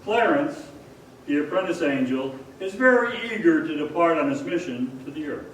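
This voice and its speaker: A man's voice: a little high, animated, moderate pace. A man narrating a staged old-time radio play as its announcer. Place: performance hall. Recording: echoey hall, distant mic, faint noise.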